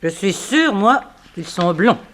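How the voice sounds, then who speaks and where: A woman's voice: mid-range voice, animated. Composed, proud woman under suspicion. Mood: suspicious, reasoning. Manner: formal, measured, self-controlled. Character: composed, proud female companion under suspicion, coolly reasoning. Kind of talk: 1960s French radio drama acting.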